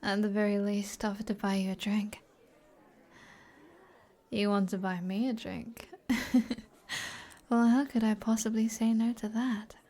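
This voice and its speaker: An alluring fantasy sorceress, played by a woman with a British accent speaks slowly and softly in an intimate ASMR roleplay. Her voice is breathy and often near a whisper, medium in pitch. She is flirty and teasing, taking her time over each phrase. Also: little pitch movement, natural voice. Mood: flirty, forward, then playful, teasing.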